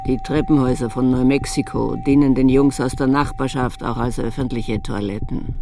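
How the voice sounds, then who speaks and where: An actor playing a cynical man who recites harsh rules of city life in a measured, list-like radio drama delivery. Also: medium pitch, steady tone, gravelly, noisy background, lightly acted. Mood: cynical, flat.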